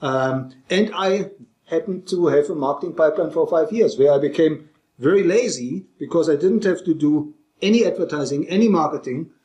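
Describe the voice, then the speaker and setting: A man's voice: on the high side, mellow. German-accented man presenting in an echoey room. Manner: conversational, with frequent fillers and restarts. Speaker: speaker. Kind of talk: presentation to an audience.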